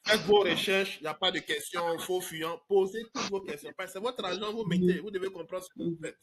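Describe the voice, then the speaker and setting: A man's voice: high voice, a little fast, crowd noise. A man from Côte d'Ivoire, hosting a live online question-and-answer talk in an emphatic way. He repeats words and drops English fillers. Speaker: trading course host answering questions.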